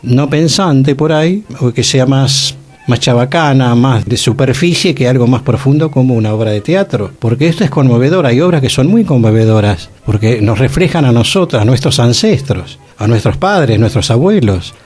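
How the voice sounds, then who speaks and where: An Argentine man with a Buenos Aires accent talks as a radio host, in a mid-range voice. He explains his views earnestly and conversationally, with music playing quietly underneath. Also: mid-range voice, animated, normal speed.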